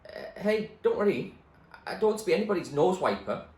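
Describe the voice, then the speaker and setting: A man's voice: sits high, big pitch swings, a little mellow, voice pushed up. A man playing a flustered teenage girl. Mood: flippant. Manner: hurried, stammering. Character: scrappy teenage girl, wary and quick. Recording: echoey room, faint noise.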